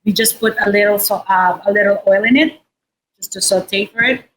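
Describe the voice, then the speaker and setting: A woman chef with a Filipino accent giving a casual cooking demonstration in an informal, halting, explanatory way. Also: mid-range voice, fairly bright tone, poor audio. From Philippines. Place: indoor restaurant space behind a counter. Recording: noticeable background noise and room ambience.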